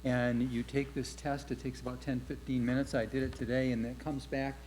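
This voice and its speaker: Man, mellow voice, chatty board member, rambling, faint mains hum. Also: mid-range voice, level tone, brisk, poor audio. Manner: chatty, rambling with "you know". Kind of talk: board meeting discussion.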